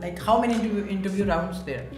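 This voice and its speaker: A man's voice: high voice, fast, darkish tone, echoey room, faint music behind. A man from India hosting a casual podcast interview, chatty and full of "so" and "you know". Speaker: podcast host.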